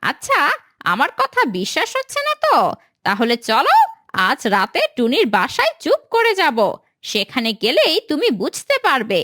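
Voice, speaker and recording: Scheming cartoon crow: extremely high, wildly animated, greedy and gossipy. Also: female voice, moderate pace, no echo, studio quality, full character voice. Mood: conspiratorial.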